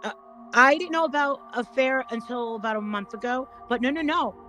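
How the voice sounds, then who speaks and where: A woman chatting casually as a panel guest, rambling and haltingly repeating her words as she goes. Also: fairly high pitch, bright, forceful tone, soft background music. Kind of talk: casual gossip chat.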